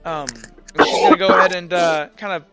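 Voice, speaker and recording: A man improvising the narration of a tabletop roleplay as game master, in a halting way. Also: sits high, steady tone, loud music behind the voice.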